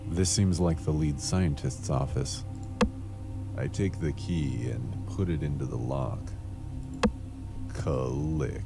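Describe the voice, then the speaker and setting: Man acting a very deep, gravelly noir thief. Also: slow pace, pauses often, over loud music, heavily acted. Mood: dry, wry. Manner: deadpan, dry, terse. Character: jaded, sardonic noir cyberpunk male thief. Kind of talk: video game point-and-click voice lines.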